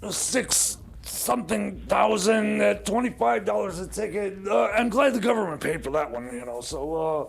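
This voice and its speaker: A man playing a frazzled movie critic in a radio comedy, stammering and repeating his words in a creaky, halting voice. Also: high voice, animated, full character voice. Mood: flustered, strained. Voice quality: creaky, gravelly. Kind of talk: improvised comedy radio skit.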